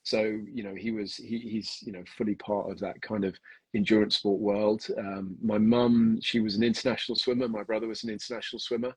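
A man's voice: fairly low pitch, level tone, a little fast, breathy edge, no echo, poor audio. A man from Kenya with a mixed British and Australian accent, explaining things in a casual interview with many ums and restarts. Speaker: guest endurance coach.

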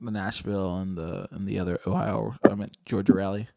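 A man's voice: sits low, fairly even intonation, a little fast, gravelly, a little mellow, no room sound, studio quality. A man in his late thirties hosting a casual chat, rambling, laughing and swearing freely. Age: 30s. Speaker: podcast host. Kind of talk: casual chat about moped rallies.

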